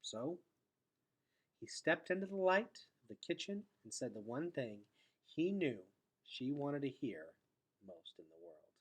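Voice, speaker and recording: A man reading a storybook aloud expressively, with dramatic delivery that drops into hushed, breathy tones. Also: a little high, very animated, measured pace, low-quality recording. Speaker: teacher reading aloud to students.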